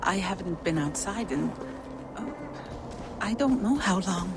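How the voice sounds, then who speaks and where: A woman playing a gentle, reclusive giantess seer in a video game drama, haunted and devoted, speaking in a hushed, halting, dreamy way. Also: mid-range voice, animated, background noise. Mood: troubled, resolute. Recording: long hall reverb, faint ambience.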